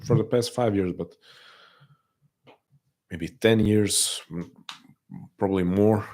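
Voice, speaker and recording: A man with a non-native English accent, often taken for Russian, hosting a casual live chat and answering viewers; soft-spoken and hesitant, with many restarts. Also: lowish voice, slow pace. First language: not English. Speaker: livestream host answering chat.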